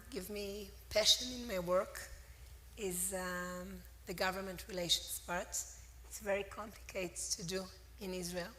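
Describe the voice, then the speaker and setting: A middle-aged woman speaks on a panel with a hoarse, strained voice. She talks slowly and pauses to search for words. The sound is roomy, with a long hall reverb and faint ambience behind her. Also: mid-range voice, pushed and bright, slight background noise. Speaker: panelist, legal and government relations lead. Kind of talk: conference panel talk. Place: conference hall.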